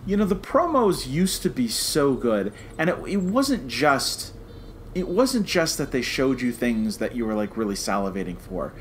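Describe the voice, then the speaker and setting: An American man co-hosting a podcast in casual, animated fan chat that slips into mock quotes. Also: medium pitch, normal speed, a little hiss or hum. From United States. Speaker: podcast co-host.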